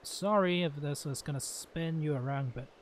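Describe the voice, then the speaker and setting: Higher-pitched man doing casual game commentary. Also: normal speed, a little hiss or hum. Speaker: gaming video host playing and commenting.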